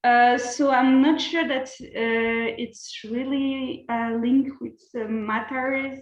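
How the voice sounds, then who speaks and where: A woman leading a program, answering on a video call in a halting way with many fillers. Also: fairly high pitch, fairly even intonation, roomy sound, lo-fi sound. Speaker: program lead.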